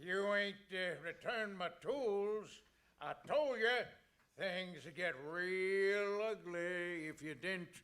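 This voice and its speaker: An actor playing a menacing, blustering neighbor villain in an old time radio comedy. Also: male voice, sits high, slow pace, fairly bright tone. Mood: threatening.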